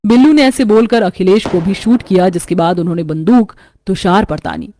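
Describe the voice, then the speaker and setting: A woman's voice: mid-range voice, fairly quick, dark tone. A woman narrating a scripted horror story as its storyteller. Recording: music under the voice.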